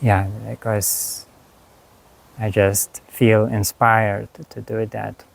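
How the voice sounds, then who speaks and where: A man with a Lithuanian accent, a spiritual teacher talking slowly and haltingly to camera about his course, with many pauses and restarts. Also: lowish voice, level tone, vocal fry, poor audio. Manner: unhurried, halting, frequent fillers and restarts. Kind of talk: reflective monologue.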